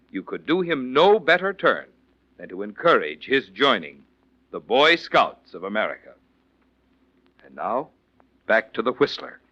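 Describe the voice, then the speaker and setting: A man's voice: mid-range voice, sharp tone. A man announcing for 1940s radio in a scripted, polished, formal and emphatic style. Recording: muffled vintage 1940s radio recording, faint music.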